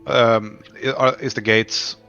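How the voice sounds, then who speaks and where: Bright, halting male player on lo-fi chat. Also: medium pitch, little pitch movement, soft background music. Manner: halting, casual. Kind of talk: casual roleplay chat.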